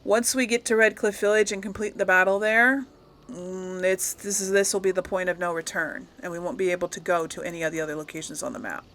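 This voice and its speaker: Woman, bright forceful voice, casual gaming host, rambling self-corrections, noticeable background noise. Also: on the low side, brisk. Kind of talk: casual chatty game playthrough commentary.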